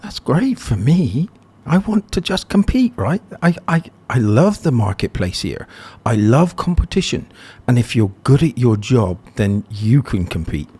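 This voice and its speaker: Husky-voiced upbeat podcast host. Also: male voice, mid-range voice, very animated, a little slow, warm tone. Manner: upbeat, playfully teasing questions. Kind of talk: casual interview hosting.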